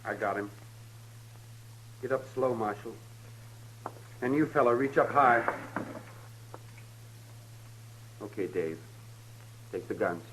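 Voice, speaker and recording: A man's voice: fairly low pitch, measured pace, long pauses, close to an everyday voice. A man playing a nervous, simple younger brother with a rural American accent in a 1950s radio western, jumpy and stammering. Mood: tense. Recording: very noisy old radio recording.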